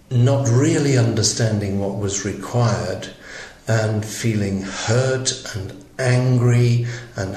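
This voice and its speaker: A man's voice: on the low side, darkish tone, echoey room. A man from Wales, a professor, giving thoughtful interview answers with small restarts.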